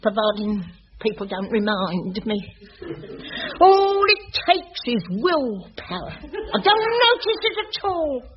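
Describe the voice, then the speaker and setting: An actress playing a blunt, chatty elderly cleaner with a working-class English accent in a radio sitcom. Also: female voice, a little high, very animated, takes it slowly. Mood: strained pride. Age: older. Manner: indignant, colloquial, chatty. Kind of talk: British radio sitcom acting. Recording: muffled, noisy old radio recording.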